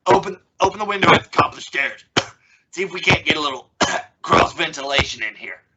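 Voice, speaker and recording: A woman's voice: low-pitched, expressive, fairly quick, lo-fi sound. A young woman playing an exasperated, stammering father trying to sound reasonable in an old-time radio family comedy. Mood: strained reason. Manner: stammering, sputtering. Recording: noisy recording with clicks.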